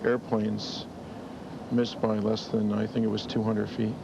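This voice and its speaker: A man's voice: on the low side, little pitch movement, a little slow, gravelly, dull audio. A man, an air traffic controller, seriously recollecting events in an interview.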